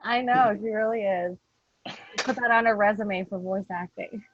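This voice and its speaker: A woman with a mid-pitched voice chats informally. Her audio is very dry and slightly dull, like a low-fidelity call. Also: fairly even intonation, moderate pace, dry recording. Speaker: podcast cast member. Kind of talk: casual chat.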